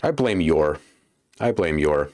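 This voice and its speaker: A man's voice: a bass voice, animated, slow, darkish tone, no echo. A man hosting a casual livestream while making beats, chatting with viewers and thinking aloud as he works. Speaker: livestream host and music producer.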